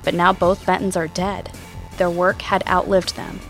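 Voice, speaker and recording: An actress plays a thoughtful woman hosting an investigative mystery podcast. Her voice sits a little low, measured and reflective with a wry edge, over faint music. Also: level tone, close to an everyday voice, low-quality recording. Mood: pondering. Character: thoughtful, inquisitive female radio host and podcast investigator. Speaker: podcast host and narrator. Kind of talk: scripted first-person mystery narration.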